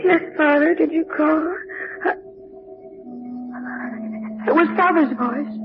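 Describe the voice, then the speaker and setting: A woman's voice: high voice, takes it slowly, faint music behind. An actress playing an emotional, grieving young woman in an old radio drama. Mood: desperate pleading. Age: young adult. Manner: emotional, pleading, melodramatic. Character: distraught, accusing young grieving heiress. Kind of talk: 1940s radio drama acting. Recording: noisy, muffled old radio recording.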